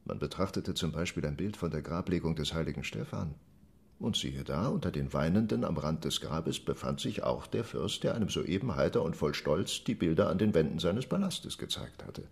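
An actor playing a reflective Ottoman envoy in a radio drama monologue, telling of travels in an expressive, deliberate, literary way, marvelling yet troubled. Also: very deep voice, gravelly, full character voice. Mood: fascinated, intense.

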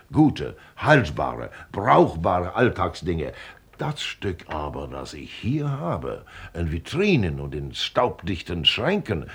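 Gruff, husky old millionaire, low-voiced. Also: male voice, heavily acted. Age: older. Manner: declamatory, lecturing, blunt. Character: gruff, wealthy old millionaire father, pompous glass collector. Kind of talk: German radio play acting.

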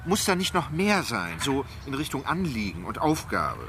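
Restless, bright-voiced brooding male writer. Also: medium pitch, very animated, normal speed, slight background noise. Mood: brooding, searching. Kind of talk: naturalistic German radio drama dialogue.

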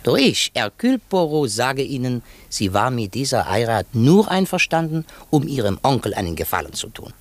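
An actor playing a shrewd, self-assured foreign detective in a theatrical, didactic manner, in 1950s German radio drama style. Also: male voice, mid-range voice, sing-song, normal speed, hardly any pauses. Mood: fatherly, emphatic. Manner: theatrical, didactic, sprinkles in French exclamations.

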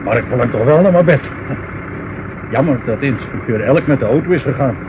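An actor playing a tired, good-natured, deferential police subordinate in a radio drama. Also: male voice, mid-range voice, animated, a little fast, narrow, tinny audio, natural voice. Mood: weary, obliging. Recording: thin, clearly noisy old radio recording.

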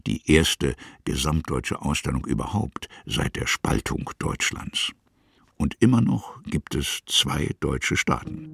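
A man playing a brooding narrator in a literary radio drama, theatrical and gravelly. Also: very deep voice, exaggerated voice.

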